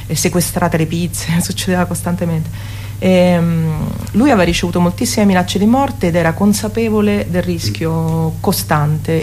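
A woman being interviewed as a performer, speaking spontaneously and pausing to search for words. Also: lowish voice, darkish tone. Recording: clear background ambient noise.

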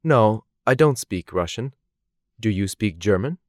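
Man reading textbook dialogues, lively intonation. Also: on the low side, no room sound, studio quality. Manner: slow, clearly articulated, measured delivery. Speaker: language course voice actor.

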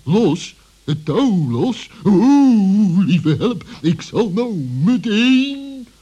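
An excitable, gullible fox villain in a children's radio play. He has a husky, darkish voice on the high side, swooping theatrically and drawing out his exclamations at a slow pace. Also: muffled recording, full character voice. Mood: startled, panicked.

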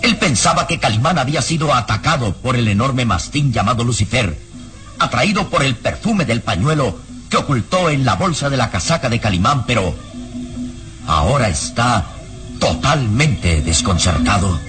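A man's voice: medium pitch, animated, normal speed, fairly bright tone, faint music behind. A man narrating a vintage radio drama, describing scenes dramatically in the present tense.

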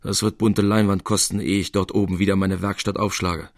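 A man's voice: low voice, flat delivery, fairly quick, dry recording, studio quality. A man playing a weary, grumbling, penniless male painter who speaks urgently in a literary story reading. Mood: sullen. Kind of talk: literary audiobook character voice.

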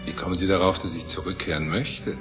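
A man playing a grandiose, low-voiced obsessive scientist. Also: little pitch movement, fast talker, close to an everyday voice. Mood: smugly confident. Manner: theatrical, self-assured, lofty. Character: grandiose obsessive middle-aged male scientist commanding a spaceship. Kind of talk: dramatic audio play acting. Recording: music behind the voice.

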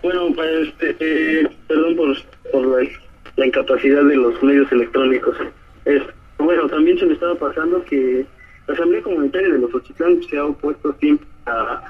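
A man from Oaxaca with a southern Mexican accent gives a halting spoken testimony by telephone, often adding short tag questions. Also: a little high, breathy edge. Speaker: detained community activist giving a statement. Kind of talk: spoken testimony by phone to a press conference. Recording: muffled, narrow-band telephone line.

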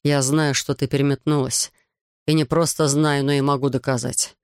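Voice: medium pitch, little pitch movement, normal speed, darkish tone, clean tone, no echo, clean studio sound, lightly acted. Narrator doing a hard, pressing male agent. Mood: hard, accusing.

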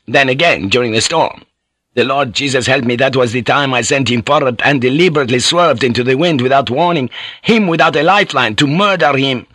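An actor voicing a rough, guilt-ridden Portuguese ship's pilot in an audiobook, confessing with passion. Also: male voice, mid-range voice, a bit bright, no echo, pitched above the performer's own voice, natural voice. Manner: impassioned, confessional. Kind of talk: audiobook character voice.

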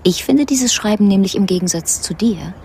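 A sardonic lawyer in a radio drama. Her pitch swings in a lively, coy way with a creaky edge, against faint outdoor ambience. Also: moderate pace, a little hiss or hum. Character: sardonic, needling female lawyer, an old acquaintance. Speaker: actress in supporting part. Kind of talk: radio play dialogue.

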